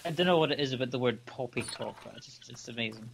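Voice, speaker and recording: A man's voice: mid-range voice, quick pace, creaky, fairly bright tone, a little hiss or hum. A man chatting casually beside a game stream, making dry, joking remarks. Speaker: co-commentator. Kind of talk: casual chat.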